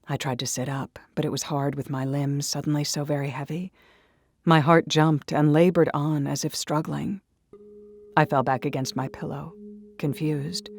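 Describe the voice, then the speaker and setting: A woman reading a first-person horror story in a hushed, dreamy way that slips into whispers. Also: sits low, vocal fry, no echo, clean studio sound. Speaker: narrator. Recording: soft music behind.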